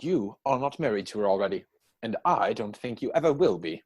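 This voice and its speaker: A man acting a witty, idle young gentleman from a period comedy. His voice is slightly husky and swings widely in pitch, with arch, playful self-satisfaction in a theatrical reading. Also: expressive, a little fast. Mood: witty, pompous. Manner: mannered, arch, theatrical delivery.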